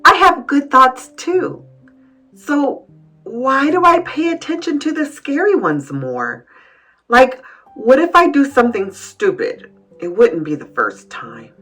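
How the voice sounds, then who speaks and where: Woman reading as an earnest young boy, slow and swooping. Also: high voice, big pitch swings, sharp tone, faint music behind, pitched above the performer's own voice, heavily acted, rough recording. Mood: anxious, doubtful. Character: worried young boy pondering his thoughts, earnest. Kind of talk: storybook read-aloud.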